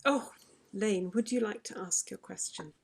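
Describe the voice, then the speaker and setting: A woman's voice: medium pitch, a little slow, vocal fry, slight background noise. A woman who is a vocal health expert, explaining singing advice in a chatty way during an online question session. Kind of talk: online forum Q&A.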